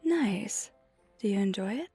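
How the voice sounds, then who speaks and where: A woman playing a flirty, friendly vampire in an ASMR roleplay, speaking softly and reassuringly with a breathy, near-whispered delivery. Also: mid-range voice, expressive, measured pace, full character voice. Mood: chatty, curious. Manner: soft-spoken, gently reassuring, often breathy near-whisper. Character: flirty friendly female vampire teaching ice skating. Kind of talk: ASMR roleplay, one side of a conversation. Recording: music behind the voice.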